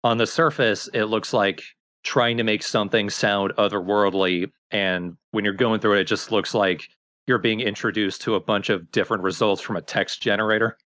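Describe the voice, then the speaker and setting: A man's voice: on the low side, steady tone, normal speed, a bit bright. A man co-commentating on video games in a casual, unscripted style.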